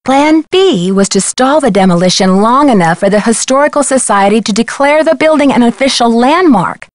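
A woman's voice: on the high side, lively intonation, moderate pace, dry recording, clean studio sound, natural voice. A woman playing a level-headed teenage girl detective in a video game, speaking scripted lines in a composed, conversational way. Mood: reflective. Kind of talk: scripted game dialogue and letter narration.

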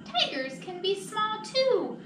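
A woman's voice: very high-pitched, big pitch swings, takes it slowly, pitched above the performer's own voice, exaggerated voice. A woman voicing a small, boastful, stubborn mouse in a picture-book read-aloud, cocky and insistent, in an echoey room. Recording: echoey room, distant mic, noisy.

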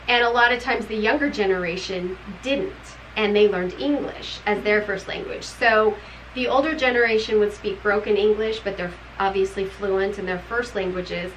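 A woman's voice: medium pitch, a bit bright, a little hiss or hum, rough recording. A middle-aged woman co-hosting a book club video, talking casually about a novel she read, rambling and laughing often. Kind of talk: casual book review chat. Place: home room with bookshelves.

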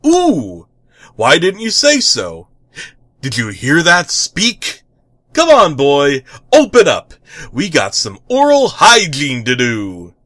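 A man's voice: a little high, huge pitch swings, slow pace, sharp tone, no room sound, studio quality, voice pushed up, heavily acted. A man voicing an enthusiastic, dim-witted superhero who makes grandiose proclamations in a comic story reading. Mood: excited, cheerful.

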